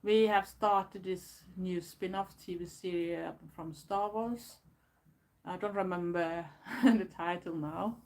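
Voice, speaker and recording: A woman's voice: fairly low pitch, steady tone, slow, darkish tone, low-quality recording. A woman from Sweden with a Swedish accent, chatting casually on a home live stream from her bedroom, rambling with fillers and restarts. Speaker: goth YouTuber. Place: bedroom at home, door closed.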